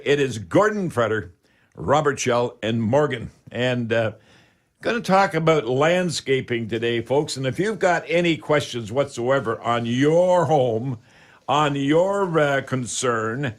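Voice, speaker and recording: An older man in his seventies with a Canadian accent hosts a live radio show in a casual, rambling, chatty, folksy way. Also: medium pitch.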